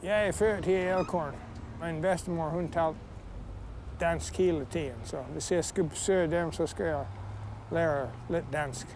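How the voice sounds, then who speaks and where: A man who speaks Danish fluently, coaching a friend patiently and with gentle amusement. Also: fairly high pitch, fairly even intonation, a little slow, noisy background. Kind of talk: casual chat.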